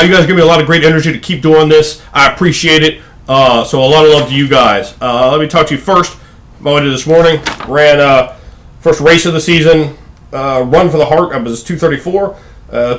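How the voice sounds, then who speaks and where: A man's voice: medium pitch, a bit bright. A man in his thirties from Virginia with a Southern accent, rambling casually to camera in his living room, full of fillers and restarts. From Virginia, USA. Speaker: vlogger. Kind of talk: casual talking-head vlog.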